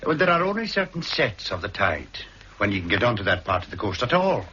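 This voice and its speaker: A man with a Scottish Highland accent playing a plain-spoken island boatman in a 1960s radio drama, giving practical warnings in a measured, matter-of-fact way. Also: big pitch swings, bright, forceful tone. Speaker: local boatman and guide. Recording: dull archive radio recording with faint hiss.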